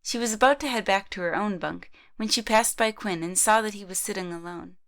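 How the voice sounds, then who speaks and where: A woman's voice: mid-range voice, brisk, fairly bright tone. A woman reading scripted third-person narration for an amateur audio drama.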